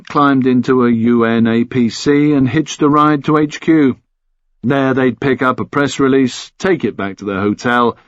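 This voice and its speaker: A man narrates a thriller audiobook in the first person. His voice sits at a middle pitch. The recording is very dry, with a slightly muffled top end. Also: no echo.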